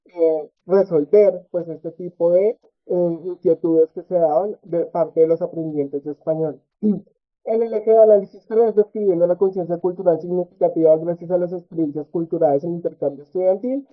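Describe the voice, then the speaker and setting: A man giving an academic research presentation at a conference, halting often with filler sounds and tag questions. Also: sits high, steady tone. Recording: tinny phone-like audio.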